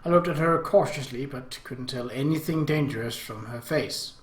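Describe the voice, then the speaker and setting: A man reading story text aloud as a let's play host, in an expressive narrating style. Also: mid-range voice, moderate pace. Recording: echoey room.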